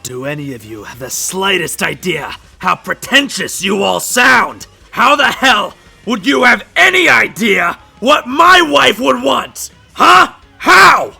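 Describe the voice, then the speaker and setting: A man playing a bitter, grieving, middle-aged hard-drinking huntsman in a fan comic dub, with a gravelly, raspy voice and a mocking, menacing drawl. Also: sits high, sing-song, takes it slowly, hardly any pauses, bright, forceful tone, no room sound, soft background music, full character voice. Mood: enraged.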